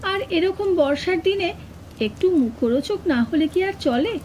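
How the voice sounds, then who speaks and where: A woman narrating a first-person horror story in an expressive, suspenseful, dramatic scripted style. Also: extremely high pitch, a little slow, slight background noise. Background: atmospheric sound effects.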